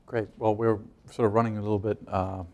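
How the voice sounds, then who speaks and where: A man moderating a panel, briskly calling on questioners and wrapping up. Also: on the low side. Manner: brisk and flat.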